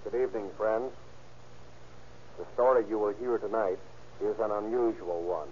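A man's voice: fairly low pitch, fairly even intonation, moderate pace, darkish tone, slight background noise. A man giving a formal, stiffly read address on an old, muffled 1930s radio recording.

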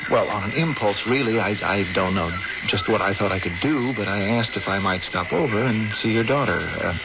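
An actor playing an earnest, caring young medical graduate in an old radio drama, with an American accent and a hesitant, polite way of talking. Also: male voice, fairly low pitch, normal speed, close to an everyday voice. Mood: nervous, halting. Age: young adult. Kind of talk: old-time radio drama acting, with some first-person narration. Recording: dull, heavily noisy old radio recording.

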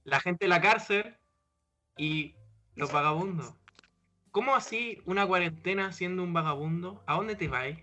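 A man's voice: sits high, a little slow, pushed and bright, dull audio. A man with a Chilean accent talking as a panelist in a casual group discussion. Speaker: podcast panelist.

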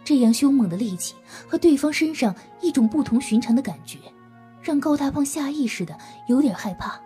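A woman's voice: high voice, lively intonation, brisk, warm tone, faint music behind. A woman narrating a scripted audio drama as a storyteller, in a dramatic style.

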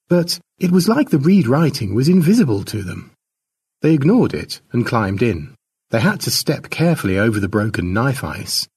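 A man narrating a scripted short story in a dramatic storytelling manner, with a husky voice. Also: medium pitch. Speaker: author narrating his own short story.

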